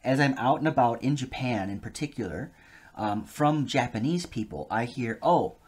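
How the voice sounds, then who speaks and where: An animated man talks in a relaxed interview, and his pitch rises and falls a lot as he gets excited. He laughs easily and speaks with eager enthusiasm. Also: normal speed, slightly rough. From United States. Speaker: guest, polyglot. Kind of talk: casual interview conversation.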